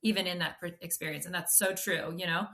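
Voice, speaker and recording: Bright, effusive woman podcast host. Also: fairly low pitch, fairly even intonation, fast talker. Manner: enthusiastic, effusive. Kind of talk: podcast interview.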